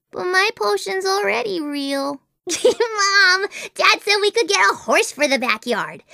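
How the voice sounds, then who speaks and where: Game role: chatty, dreamy little girl, very animated delivery, dry close recording. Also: very high-pitched, no echo, full character voice. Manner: whimsical, earnest, childlike wondering. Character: imaginative, chatty little girl, dreamy about unicorns and princesses. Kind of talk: animated video game character voice acting.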